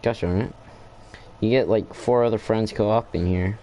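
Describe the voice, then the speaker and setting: Mellow man casually commenting on gameplay. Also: lowish voice, moderate pace. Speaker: gamer. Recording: faint background noise.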